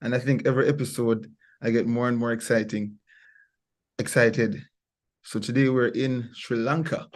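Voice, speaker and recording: A man's voice: mid-range voice, darkish tone. A man hosting an online panel discussion from his workplace, upbeat and chatty, joking with his guests. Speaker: host.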